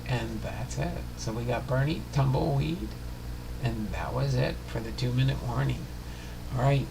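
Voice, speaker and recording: A man's voice: mid-range voice, moderate pace, gravelly, background noise. A man hosting a casual livestream about sports cards, rambling and thinking aloud as he goes. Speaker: livestream host of a baseball card channel.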